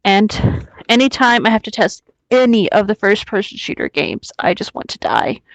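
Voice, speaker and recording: A woman with an average-pitched voice chats casually in a dry, close recording. She is blunt and sweary, talking as one co-host among friends. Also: sing-song, moderate pace, no room sound, low-quality recording. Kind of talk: casual group chat.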